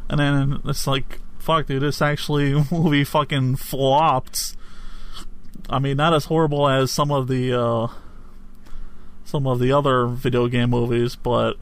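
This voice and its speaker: A man's voice: mid-range voice, flat delivery, measured pace. A man co-hosting a casual podcast, chatting loosely and swearing freely. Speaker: podcast co-host.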